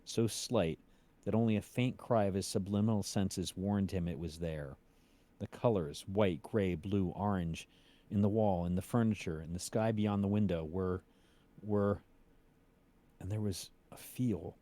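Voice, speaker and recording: A man reading aloud in a measured, suspenseful storytelling style. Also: low voice, mellow. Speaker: cast member reading a story aloud on a stream. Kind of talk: dramatic story reading, then casual chat.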